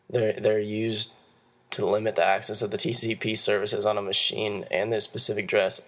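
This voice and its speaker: Young guy with a croaky hoarse voice doing a class talk, kinda halting, flat delivery. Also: fairly low pitch. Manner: halting, steady explaining with frequent restarts. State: hoarse, losing his voice. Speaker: student presenter. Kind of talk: class presentation.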